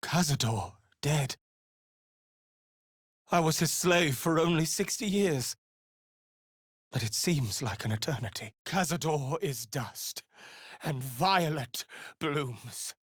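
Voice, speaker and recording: A woman's voice: sits low, lively intonation, slow pace, long pauses, husky, no room sound, studio quality, exaggerated voice. A woman playing a giddy, theatrical freed vampire spawn in a fantasy game, dramatic and exclamatory. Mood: triumphant, bitter. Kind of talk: scripted fantasy game acting.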